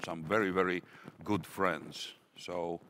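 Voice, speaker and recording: Very low voiced guy hosting a stage q&a, lots of uhs, slight hiss behind. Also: fairly even intonation, fairly bright tone. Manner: hesitant, halting, full of fillers. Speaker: moderator. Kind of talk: moderating a conference Q&A.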